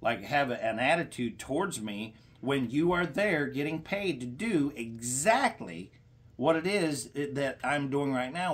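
A middle-aged man argues as a caller with a complaint. His delivery is halting but insistent and tends toward lecturing, at an ordinary male pitch in a small room. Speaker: caller to a senator's office. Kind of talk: confrontational phone complaint.

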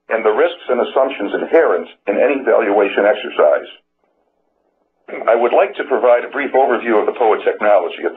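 Company executive, older man, lowish voice, formal scripted conference-call delivery over a narrow phone line. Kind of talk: prepared corporate statement on a conference call. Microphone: telephone. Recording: tinny phone line with background noise.

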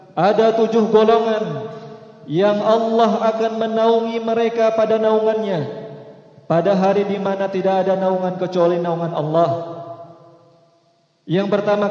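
A man delivering a Friday sermon in measured tones, chanting the Arabic quotations. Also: sits high, mellow. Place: large mosque hall. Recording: echoey mosque hall, dull lo-fi sound.

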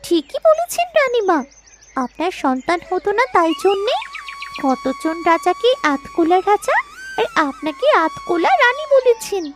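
A woman's voice: very high-pitched, wildly swooping pitch, unhurried, full character voice. A woman voicing a sweet, devoted young maidservant in a fairy-tale cartoon, respectful and reassuring, with music behind her. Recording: loud music bed behind voice.

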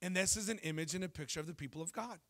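Bright-voiced animated preacher man. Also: sits high, racing. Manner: animated storytelling preacher, jokes and asks the crowd questions. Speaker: pastor. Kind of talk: sermon. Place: church stage before a congregation. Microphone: handheld mic.

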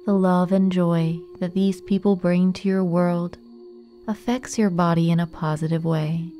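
A woman leading a guided meditation in a gentle, soothing, unhurried manner with long pauses. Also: on the low side, fairly even intonation, soft background music.

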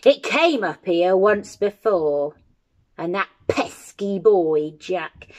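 A woman voicing a very old, toothless giant in a storybook, gruff and grumbling, with booming, rustic, ungrammatical speech. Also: lo-fi sound.

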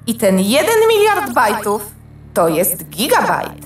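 A tiny, chatty male computer creature in a children's radio play. The voice is highish and slow, proud and playful, set a little away in an echoing space. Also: huge pitch swings, soft background music. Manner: boastful, playful, emphatic. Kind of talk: children's audio drama. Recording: roomy echo, distant.

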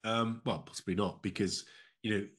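A middle-aged British man with a low, rather rough voice answers interview questions conversationally. He speaks indoors over a video call. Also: fairly even intonation, normal speed, fairly bright tone. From United Kingdom. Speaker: interview guest, former diplomat.

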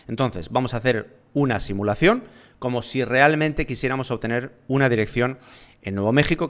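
A man, seated indoors and giving a steady, step-by-step tutorial on online selling. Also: mid-range voice. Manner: explanatory, steady, walks through steps. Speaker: online business instructor. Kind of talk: screen-share tutorial. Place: indoor room, seated at desk.

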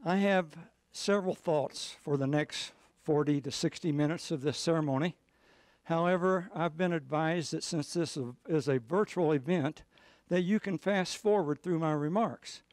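A man's voice: on the high side, moderate pace, darkish tone, dry recording. An older man from a state board giving short ceremonial remarks into a handheld mic, with dry humor. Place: plain indoor room.

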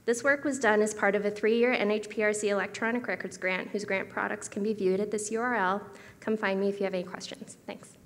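A woman presenting archival work at a conference lectern in a steady, businesslike way. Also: mid-range voice, a little fast. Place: large hall, at a lectern. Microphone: podium microphone. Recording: hall reverb.

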